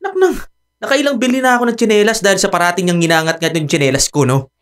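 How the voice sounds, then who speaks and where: Grumbling, irritable boyfriend. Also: male voice, high-pitched, quick pace, fairly bright tone. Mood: grumbling, exasperated. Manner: grumbling, exasperated. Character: irritable live-in boyfriend who resents the dog. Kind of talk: radio drama acting.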